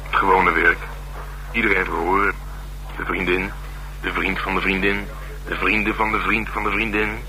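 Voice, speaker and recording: A worldly, gruff police detective in a radio play, with a low voice. He talks in streetwise slang, dry and wry. The recording carries clear noise. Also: little pitch movement, moderate pace, long pauses, bright, forceful tone, full character voice. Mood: dry, confiding. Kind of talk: Dutch radio drama dialogue. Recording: noisy radio drama recording.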